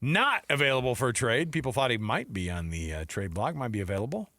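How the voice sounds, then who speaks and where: Male, American, sports radio co-host, animated pitch, dry close sound, joking conversational delivery. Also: fast talker, dry recording. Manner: jokey, conversational, acts out imagined quotes. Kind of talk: casual sports talk banter with news rundown.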